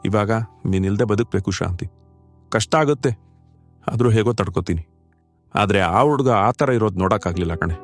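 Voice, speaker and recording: Man acting kindly older husband, low voice. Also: moderate pace, pauses often, soft background music, natural voice. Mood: grieving, tender. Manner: fatherly, earnest, colloquial. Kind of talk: dramatic dialogue in a cartoon short story.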